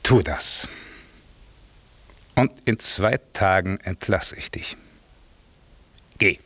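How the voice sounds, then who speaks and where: A man's voice: sits low, extremely slow, a bit bright, no room sound, whispered, heavily acted. A man playing a stern, imperious magician master who can turn benevolent, declaiming verse with authority in a German classical radio play. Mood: commanding.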